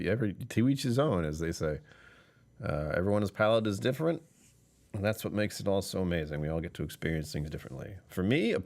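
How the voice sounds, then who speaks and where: A man hosting a casual live stream, rambling and chuckling as he chats with viewers; he sounds tired and his voice is lower than usual. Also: creaky. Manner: rambling, chuckling, talking to chat. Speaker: livestream host.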